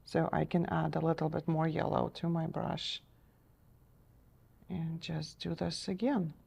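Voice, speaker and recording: A middle-aged woman teaching a step-by-step painting lesson in a TV studio, gentle and encouraging, her voice a little strained as she is losing it. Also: low-pitched, little pitch movement, unhurried, gravelly, slightly rough. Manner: gentle, encouraging, checks in with "okay?". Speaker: painting instructor.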